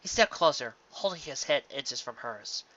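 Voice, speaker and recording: Bright-voiced man narrating fiction dramatically. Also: medium pitch, lively intonation, normal speed, lo-fi sound.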